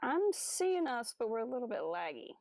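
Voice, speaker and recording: Female co-host, soft-edged tone a touch above average pitch, casual chat. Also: very animated, moderate pace, no echo, clean studio sound. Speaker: podcast co-host.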